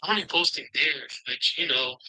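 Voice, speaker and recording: A young man giving a halting testimonial about a coaching course, filling gaps with "uh" and "you know". His voice is very bright and sharp and sits a little high. He sounds plain and unpolished. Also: poor audio. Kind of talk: casual testimonial.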